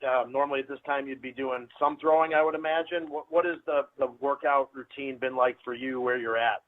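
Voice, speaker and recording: A man, a sports reporter, asking an informal question in a halting way, rephrasing as he goes. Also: medium pitch, steady tone. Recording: narrow phone-like call audio.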